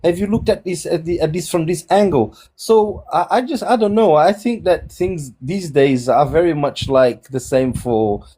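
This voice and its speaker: Brief: man, forties, fairly high voice, reflective and halting on a video call. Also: dark tone, husky. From Angola, raised in Portugal. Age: 40s. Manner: reflective, many restarts, shifting pitch. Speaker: guest on a dating discussion. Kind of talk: casual group chat.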